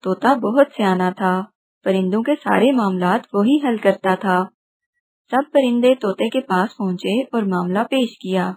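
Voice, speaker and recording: A woman's voice: medium pitch, measured pace, a little mellow, breathy edge. A woman narrating a scripted children's story.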